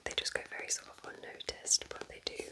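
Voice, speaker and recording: A British woman whispering softly in an unhurried, chatty ASMR style, gently rambling as a host. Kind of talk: whispered ASMR, gentle roleplay book chat.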